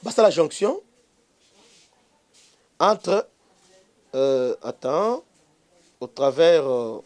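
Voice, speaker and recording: A man's voice: fairly high pitch, big pitch swings, darkish tone. A man with a Congolese French accent, a bishop hosting his own channel, giving an impassioned political commentary full of hesitations and stray Lingala words. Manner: halting, many fillers and restarts, mixes in Lingala.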